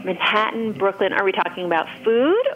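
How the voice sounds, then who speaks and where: A woman's voice: medium pitch, animated, fairly quick, muffled recording. A woman speaking as an interview guest in an informal chat, thinking aloud as she answers. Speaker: interview guest, food writer. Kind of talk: casual chat. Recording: clearly noisy background.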